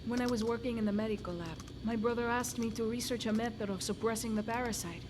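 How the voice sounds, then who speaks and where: An actress playing a grave, guarded medical researcher in a video game cutscene, explaining in a measured, clinical way. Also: female voice, on the high side, steady tone, background noise, close to an everyday voice.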